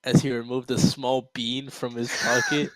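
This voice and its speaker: A man's voice: mid-range voice, flat delivery, normal speed, fairly bright tone, no echo, poor audio. A man reads a story aloud in a casual, amateur way. He stumbles a little and sounds amused, and the recording is dry with very little room sound. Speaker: amateur reader.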